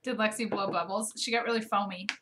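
A woman in her thirties co-hosting a casual ranch livestream from a living room couch, chatty and quick to laugh. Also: medium pitch, fairly even intonation, noisy background. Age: 30s. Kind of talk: casual live chat.

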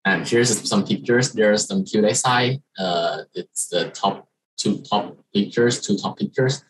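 Young man from Thailand, Thai native speaker, student presenter on a video call; low, slightly husky voice, halting delivery. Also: low-quality recording. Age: young adult. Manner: halting, many fillers and restarts. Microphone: video call webcam.

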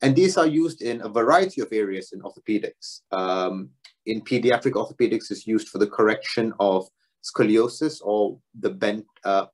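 A young man from Singapore giving a relaxed academic talk as a doctoral student, self-deprecating and dotted with fillers. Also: on the low side. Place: home room, video talk.

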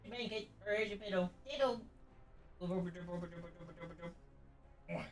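A woman's voice: on the low side, very fast. A woman baby-talking to a pet dog in short, cooing, repeated phrases. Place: indoor room, away from the mic. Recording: roomy, distant from mic, music behind.

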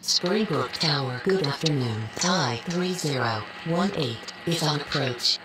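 A woman's voice: low voice, lively intonation, a little slow, over a noisy crowd, low-quality recording. A woman playing an air traffic radio voice in a game, speaking clipped, procedural phraseology in a businesslike way. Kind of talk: scripted aviation radio phraseology.

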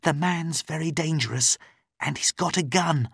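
A man's voice: on the high side, normal speed, vocal fry, a bit bright, slightly rough, dry recording, clean studio sound, voice pushed up, exaggerated voice. A man narrating a storybook, playing an anxious, wary woman held captive behind a locked door. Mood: anxious warning.

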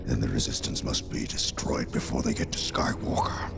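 An actor playing an ancient, sinister alien overlord in a game, commanding and full of menace, with a gravelly voice. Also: male voice, noisy background, heavily acted. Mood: cold menace. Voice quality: very deep, gravelly, breathy menace. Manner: slow, imperious, ominous. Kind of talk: cinematic video game villain acting. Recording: loud orchestral music behind.

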